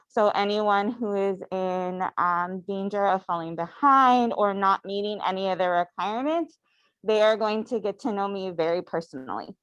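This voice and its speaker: A woman's voice: medium pitch, no room sound. A woman, a math coordinator and teacher, introducing her new duties to parents in a plain, matter-of-fact way. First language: English. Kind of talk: staff introduction. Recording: slightly dull dry sound.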